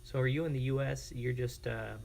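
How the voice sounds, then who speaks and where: An American man streaming casually, chatting informally and reacting to viewers in a creaky, gravelly voice. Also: medium pitch, steady tone, brisk, a little mellow, a little hiss or hum. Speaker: live streamer. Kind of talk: casual livestream chat with viewers.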